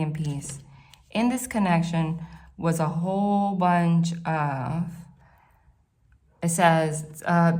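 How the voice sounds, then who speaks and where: A woman from the New Jersey and New York City area with a northeastern American accent, giving a chatty, rambling tarot reading to camera at home. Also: sits low. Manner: chatty, rambling, frequent "like" and restarts. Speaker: tarot reader. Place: hot room at home.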